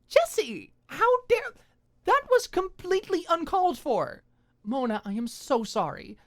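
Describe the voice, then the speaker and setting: A woman voicing a principled, protective young woman in an audio drama, emphatic and quick to show feeling. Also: very high-pitched, very animated, no echo, clean studio sound, pitched above the performer's own voice, exaggerated voice. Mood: horrified, indignant. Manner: firm, exclaiming, emphatic.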